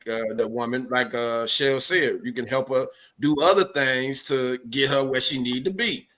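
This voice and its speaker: A man co-hosting a casual podcast chat, speaking haltingly with many restarts and fillers. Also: medium pitch, a bit bright. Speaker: podcast co-host.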